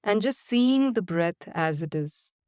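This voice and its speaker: A woman guiding a body-scan and breathing meditation in slow, calm, soothing instructions with long pauses. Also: medium pitch, smooth, no room sound, clean studio sound. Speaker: meditation guide. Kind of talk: guided meditation.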